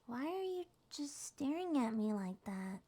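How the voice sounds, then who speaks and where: A woman voicing a small, shy, gentle monster girl in an ASMR roleplay, speaking softly, hesitantly and reassuringly. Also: sits high, big pitch swings, unhurried, slightly rough, exaggerated voice. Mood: timid, relieved. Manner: hesitant, soft-spoken, reassuring.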